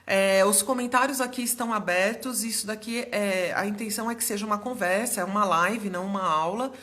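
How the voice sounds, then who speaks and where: A slightly hoarse young woman hosting a casual live stream as a business mentor, explaining things in a chatty way and often checking in with her viewers. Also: medium pitch, brisk, fairly bright tone.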